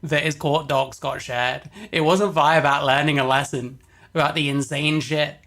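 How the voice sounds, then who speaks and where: Male commentator, casual and sweary, mildly high pitch, mocking and amused. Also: fairly even intonation, moderate pace, gravelly, fairly bright tone. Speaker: livestream commentator.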